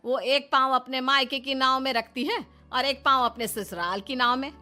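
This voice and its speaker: A woman's voice: high voice, normal speed, pushed and bright, faint music behind. A woman playing a stern, scolding middle-aged mother-in-law in a cartoon story, blunt and reproachful.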